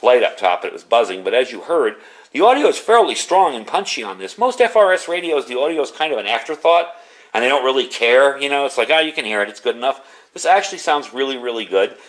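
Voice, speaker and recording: A man's voice: mid-range voice, sing-song, fast. A man giving a casual, unscripted product review in a chatty, conversational way.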